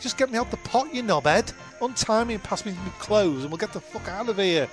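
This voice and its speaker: High-voiced whingeing, sweary man. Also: lively intonation, a little fast, music in the background. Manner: whingeing, sweary, rambling protest. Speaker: comedy podcast performer. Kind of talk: improvised comedy role-play.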